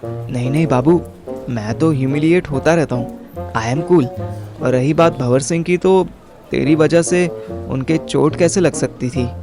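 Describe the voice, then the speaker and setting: Slightly high-voiced young male storyteller. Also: unhurried, music in the background. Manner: casual, slangy Hinglish. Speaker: narrator and lead character. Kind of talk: first-person audio story narration with acted dialogue.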